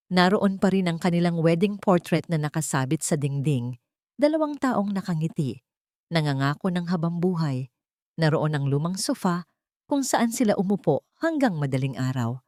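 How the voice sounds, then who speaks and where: A woman with a fairly low voice narrates a scripted romantic drama. Her delivery is measured and solemn, and the sound is dry, with very little room in it. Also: a little mellow, no echo. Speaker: story narrator.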